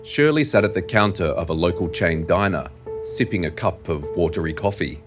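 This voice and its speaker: A man narrating an audio drama in a measured, deliberate, scripted storytelling style. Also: low voice, expressive, over music.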